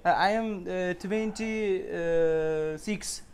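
A young man from Uzbekistan, loud and playful, speaking broken English in a restaurant kitchen. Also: sits high, slow pace, a little hiss or hum. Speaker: vlogger. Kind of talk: comic workplace vlog.

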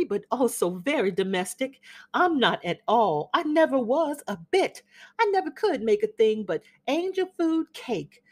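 A woman's voice: a little high, darkish tone. A woman reading a play aloud, voicing a genteel older Southern mother who chatters and gushes in a Southern accent. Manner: gushing, chattering, flutters up and down. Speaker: reader of a classic play.

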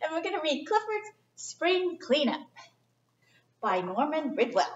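A middle-aged woman reads a picture book to children in a high, very lively voice. She is expressive and chatty, dropping in informal asides with simple phrasing. The sound is distant and echoey, picked up by a camera mic across the room. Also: measured pace, lo-fi sound. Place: indoor library children's area. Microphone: distant camera microphone.

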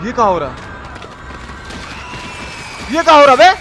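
A man's voice: very high voice, sing-song, unhurried, background noise. A man with a Bihari accent giving casual, slangy gameplay commentary as a live streamer, cursing and repeating himself as he chats with viewers. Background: game music.

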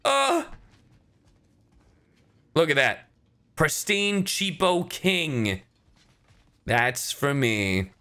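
A man's voice: on the high side, sing-song, very slow, long pauses, pushed and bright. A young man giving casual, irreverent gameplay commentary, playful and full of swearing. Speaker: game streamer.

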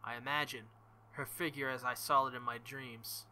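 A man's voice: medium pitch, fairly even intonation, moderate pace, sharp tone, a little hiss or hum, low-quality recording. A young man reading a visual novel aloud as a let's play host, with joking, crude asides between passages.

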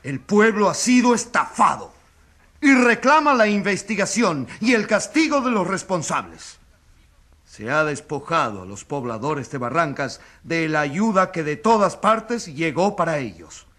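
Indignant high-pitched crusading accuser. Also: male voice, sing-song, a little slow, a bit bright, heavily acted. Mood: indignant, accusing. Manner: emphatic, declamatory, insistent. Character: indignant crusading male newspaper editor accusing a governor. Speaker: accuser in a courtroom radio drama. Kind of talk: theatrical radio drama oratory.

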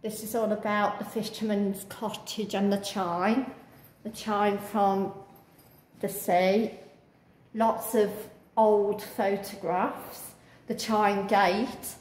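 A woman vlogger talking through a museum and garden on a walking tour, halting with many fillers. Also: mid-range voice, little pitch movement, darkish tone, echoey room, poor audio. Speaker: travel vlogger. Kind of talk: casual walking tour commentary. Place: indoor museum and outdoor garden.

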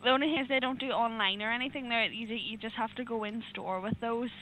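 High, bright Northern Irish woman on the phone. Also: fairly even intonation, fast. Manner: polite, giggly, patient. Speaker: supermarket customer service agent. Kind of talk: customer service phone chat. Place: phone line into a group video call. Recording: noisy phone call recorded off computer.

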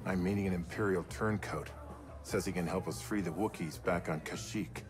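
A man's voice: low voice, normal speed, natural voice. An actor playing a roguish, easygoing male smuggler in a video game, casual and cajoling. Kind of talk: cinematic video game acting. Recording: music under the voice.

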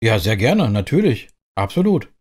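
A man's voice: on the low side, very animated, moderate pace, a little mellow. A middle-aged man from Germany giving chatty, colloquial commentary as host of a truck driving game. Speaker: host of a truck simulator roleplay series. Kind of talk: casual gameplay commentary in character. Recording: clear vehicle noise behind the voice.

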